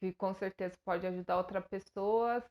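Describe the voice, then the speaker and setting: A woman's voice: mid-range voice, fairly even intonation, moderate pace, darkish tone, muffled recording. A young Brazilian woman with a Brazilian Portuguese accent, talking straight to her viewers in an earnest, hesitant way, with many fillers and restarts. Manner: earnest, emotional. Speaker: YouTuber. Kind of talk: personal vlog to camera.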